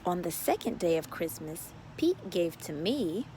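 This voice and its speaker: A woman hosting a children's story time, reading a picture book aloud in a playful, drawn-out sing-song delivery. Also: on the low side, big pitch swings, dark tone, a little hiss or hum.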